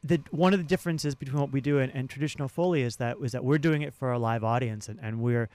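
Clear-voiced man in an interview, halting delivery. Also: medium pitch, darkish tone, no echo. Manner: halting, with repeated words and restarts. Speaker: sound designer and guest. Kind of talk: conversational interview.

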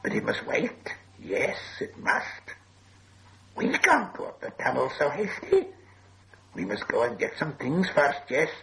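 Storybook cave creature, high-pitched, hissing, whining, wheedling, fretful, wretched. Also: male voice, wildly swooping pitch, a little slow, vocal fry, bright, forceful tone, exaggerated voice, rough recording. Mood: sly, evasive. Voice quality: hissing, shrieking. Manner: fretful, wheedling, talks of self as "we". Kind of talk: audiobook character voice.

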